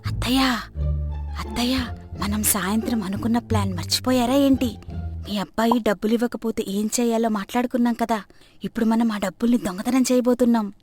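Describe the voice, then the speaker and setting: A woman's voice: fairly high pitch, steady tone, fairly quick, a little mellow, soft background music, close to an everyday voice. A woman voicing a crafty, nagging young daughter-in-law in a comic moral story, with a dramatic, complaining manner. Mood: conspiratorial. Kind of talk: animated moral story character acting.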